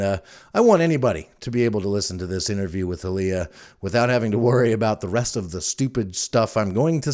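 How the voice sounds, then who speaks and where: A man's voice: medium pitch, a little mellow. A middle-aged man hosting a solo podcast in a casual, chatty, conversational storytelling manner. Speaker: podcast host.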